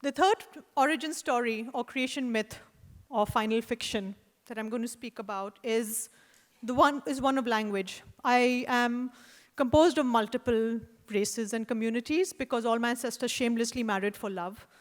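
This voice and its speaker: A woman with an Indian accent, an artist reading a prepared lecture in a measured, deliberate way, with wry asides and hesitant fillers. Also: fairly high pitch. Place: lecture hall at an art event. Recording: long hall reverb.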